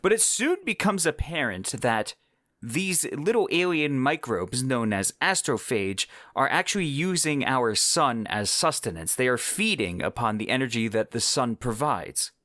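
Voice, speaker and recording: Male podcast host, mid-pitched voice, dry close mic, casual explanatory tone. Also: very animated, unhurried, sharp tone, no echo. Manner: explanatory, casual with fillers like "whatnot" and "kind of". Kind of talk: solo book review.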